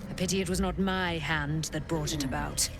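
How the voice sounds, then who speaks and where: An actress playing a proud, defiant captive celestial warrior in a video game, with a grand, scornful, declamatory manner. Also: female voice, sits low. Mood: bitter. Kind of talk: video game fantasy acting. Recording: loud music behind the voice. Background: game soundtrack music.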